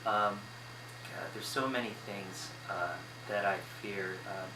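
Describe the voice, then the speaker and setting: A young American man speaks casually to camera from his bedroom, pausing often with ums and uhs. His voice sits fairly low. The recording is roomy and echoey, with steady noise underneath. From United States. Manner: hesitant, many ums and uhs. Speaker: vlogger. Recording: echoey room, noisy background.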